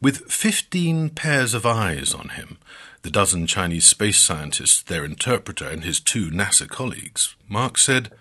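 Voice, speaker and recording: Male podcast narrator: animated, swooping delivery, husky edge, measured dramatic emphasis. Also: fairly low pitch. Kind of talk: scripted storytelling narration.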